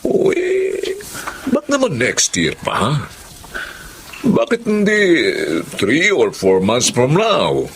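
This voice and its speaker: Doting filipino dad around 60, fussy n jovial, voice swings a lot, radio drama, bit of background noise. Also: male voice, on the high side, wildly swooping pitch, drawn-out words, exaggerated voice. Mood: lively, fussy. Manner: exclamatory, affectionate, chuckling asides. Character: doting sixty-year-old Filipino stepfather, jovial and fussy.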